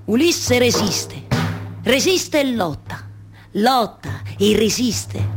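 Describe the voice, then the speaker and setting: Casting: lovelorn waiting wife of myth, Roman-accented stage monologue, slow, halting, incantatory delivery over music. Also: female voice, mid-range voice. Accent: central Italian, Roman. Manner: incantatory, repeats words and phrases, halting. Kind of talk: theatrical dramatic monologue with live music.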